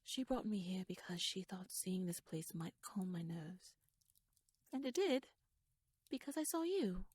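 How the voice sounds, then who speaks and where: A woman playing a shrewd, verbose young noblewoman in an ASMR audio roleplay, speaking softly in formal, wry, rambling phrases and often slipping into breathy whispers. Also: fairly low pitch, animated, a little slow, dry recording, clean studio sound. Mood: wry, guarded, then eager, persuasive. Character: shrewd, wordy young noblewoman, nervous yet playfully bold schemer. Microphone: close microphone, dry.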